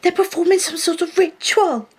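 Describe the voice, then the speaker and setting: Woman acting a high-voiced anxious daughter. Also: moderate pace, a little mellow. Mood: alarmed, urgent. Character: anxious, suspicious young English woman, grown daughter. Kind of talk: 1930s-style radio play acting. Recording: noisy background hiss.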